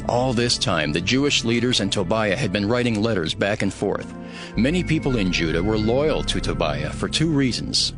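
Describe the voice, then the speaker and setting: An actor as a low-voiced, earnest ancient governor. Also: male voice, animated, moderate pace, music in the background, natural voice. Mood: earnest, measured. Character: steadfast, devout male governor of ancient Jerusalem telling his story. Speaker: first-person narrator. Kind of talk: dramatized scripted narration.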